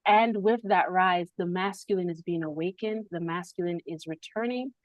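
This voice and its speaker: A woman's voice: on the low side, normal speed, dry recording, lo-fi sound. A woman hosting a spiritual podcast on a video call, speaking reflectively and laughing often between thoughts. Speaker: podcast host.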